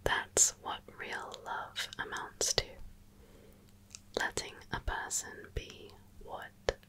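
A woman speaking in a soft, breathy whisper with a slow, sleepy, soothing delivery, in the style of an ASMR meditation reading. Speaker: ASMR channel host.

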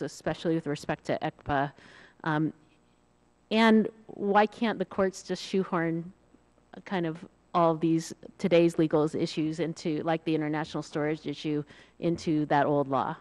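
A middle-aged woman in a large hearing room, asking a witness polite, deliberate questions into a desk microphone. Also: low voice, a little mellow. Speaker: committee member questioning witnesses. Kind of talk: formal questioning.

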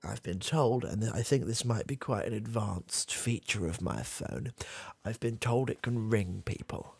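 A young man voicing a confused, rambling old grandfather with a gravelly, husky voice, in a comedy ASMR roleplay recorded close in a small dark room. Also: lowish voice, dry recording, full character voice. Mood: doddering. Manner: rambling, halting, trailing off, chuckling. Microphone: close studio mic, camera near face.

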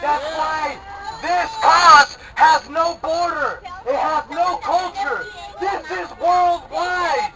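A young man leads fiery chants through a megaphone, his voice pushed very high and delivered slowly. A large crowd roars around him outdoors. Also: noisy background. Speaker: rally speaker. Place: outdoor city plaza protest. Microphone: handheld megaphone.

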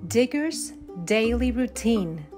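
A woman reading a rhyming children's picture book aloud with measured, deliberate phrasing. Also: fairly high pitch, lively intonation, dark tone. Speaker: storybook narrator. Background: instrumental backing music.

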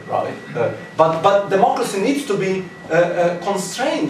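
A middle-aged man with a fairly high voice argues emphatically as an academic on a panel. He is recorded from a distance in a roomy space, with slight noise. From Czechoslovakia. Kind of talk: answering questions in a panel discussion. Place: seminar room, indoors. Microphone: far camera.

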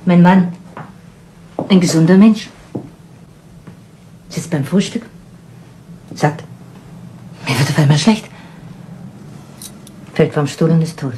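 Weary widowed garage owner, noisy recording. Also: female voice, low voice, dark tone. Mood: weary, confiding. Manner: halting, short broken phrases. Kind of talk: 1970s German radio crime drama acting. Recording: old radio recording with background noise.

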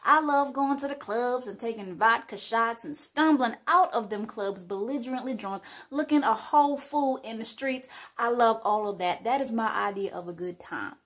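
A breezy party girl, acted by a woman, talks about her good times with carefree sass. Her voice is a little high and husky. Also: normal speed, fairly bright tone. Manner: carefree, sassy. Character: party-loving, commitment-shy young woman.

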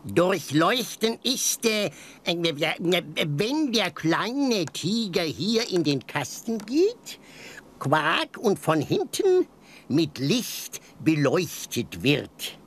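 Very slow, croaking frog doctor. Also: male voice, high-pitched, very animated, a bit bright, heavily acted. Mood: explaining, halting. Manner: halting, punctuated by quacks. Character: fussy male frog doctor who croaks. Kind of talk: children's audio play.

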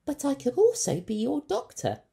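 A man's voice: very high-pitched, wildly swooping pitch, normal speed, creaky, dark tone, voice pushed up, full character voice. A man voicing a clever female doctor who corrects the crew. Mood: self-assured. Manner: smart, self-assured.

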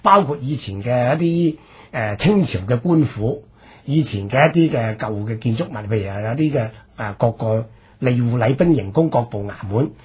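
A male radio presenter with a Hong Kong Cantonese accent explains history in a very husky, rough voice. His pitch moves up and down in an animated way, and he stretches the ends of his phrases as he lays out each point. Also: moderate pace.

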